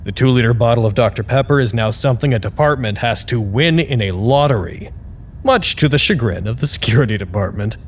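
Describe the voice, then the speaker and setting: A man's voice: mid-range voice, expressive, fairly quick, a little hiss or hum, lightly acted. A man playing an earnest, candid site staffer in an audio drama, speaking a reflective and wryly formal log to unseen superiors. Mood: wry, businesslike.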